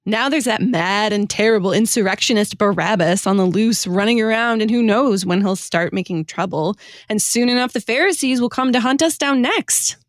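A woman's voice: medium pitch, moderate pace, no echo, studio quality, lightly acted. A woman acting a despairing, guilt-ridden male disciple in a radio sitcom, dramatic and slangy. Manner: dramatic, self-reproaching, casual modern slang.

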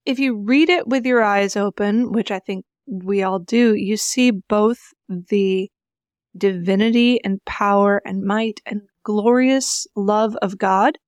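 A woman's voice: mid-range voice, slow pace, no echo. A woman podcast co-host who moves between reading scripture and earnest, enthusiastic chat. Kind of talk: Bible reading and casual group chat.

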